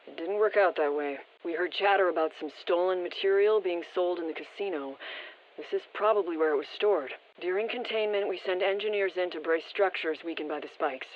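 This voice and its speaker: A woman's voice: fairly low pitch, brisk. Female agency briefer, video game, sober, informative, restrained, muffled radio transmission. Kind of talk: scripted video game voice lines.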